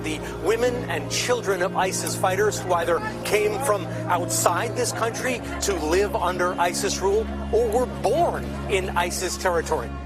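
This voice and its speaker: A man delivering a scripted field news report. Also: high voice.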